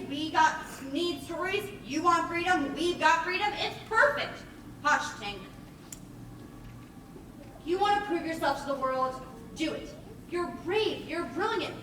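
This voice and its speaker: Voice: very high-pitched, pushed and bright, distant mic, exaggerated voice. An actor playing a boastful, eager, mischievous boy who never grows up, in a theatrical stage play style. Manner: exuberant, cocky, persuasive. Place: theatre stage, recorded from a distance. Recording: echoey stage recording from afar, faint noise.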